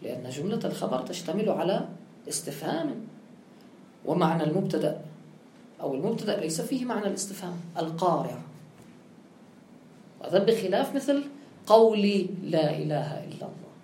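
A woman's voice: lowish voice, big pitch swings, fairly quick, pauses often, warm tone, a little hiss or hum. A woman teaching grammar with a touch, explaining step by step and posing her own questions. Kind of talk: classical grammar lesson. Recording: echoey room with faint ambience.

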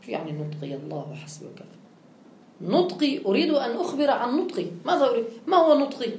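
A woman's voice: a little high, big pitch swings, fast, a little mellow, a little hiss or hum. A woman teaching grammar with a touch, explaining step by step and posing her own questions. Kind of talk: classical grammar lesson. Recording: echoey room with faint ambience.

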